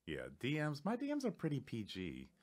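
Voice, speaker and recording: A man with a bass voice, chatting casually as a podcast guest. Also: wildly swooping pitch, a little fast, darkish tone. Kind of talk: casual chat.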